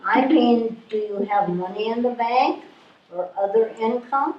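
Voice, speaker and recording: A woman's voice: a little high, dull audio, a little hiss or hum, natural voice. A woman playing an obtuse, politely bureaucratic helpline clerk in a live readers theatre reading. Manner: officious, measured. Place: live stage reading in a room. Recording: echoey room, distant microphone.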